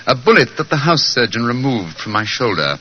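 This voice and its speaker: An actor playing a brisk, self-assured English detective in 1940s radio drama style, clipped and precise. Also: male voice, medium pitch, very animated, bright, forceful tone. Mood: brisk, urgent. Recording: noisy, dull old 1940s radio recording.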